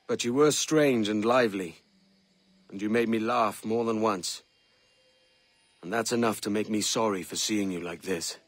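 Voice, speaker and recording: Husky, weary pirate captain. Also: male voice, on the low side, dry recording. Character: weary, regretful pirate captain, roguish and tough. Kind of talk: video game cutscene acting.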